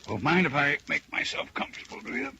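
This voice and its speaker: An actor playing a sly police detective in an old radio crime drama, probing and needling. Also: male voice, on the high side, wildly swooping pitch, quick pace, bright, forceful tone, heavily acted. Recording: band-limited, noisy old radio recording.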